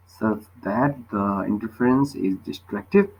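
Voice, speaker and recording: A man's voice: fairly low pitch, measured pace, a little mellow, breathy edge, low-quality recording. A man tutoring physics, explaining a worked problem step by step and often checking with short questions.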